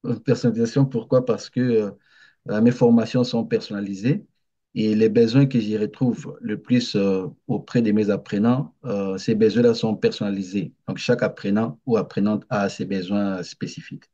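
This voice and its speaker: A man's voice: fairly low pitch, steady tone, moderate pace, mellow. A man who works as an adult education trainer, introducing himself in an online meeting with frequent hesitations. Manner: hesitant, many fillers. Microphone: computer mic on video call. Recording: dull video-call audio.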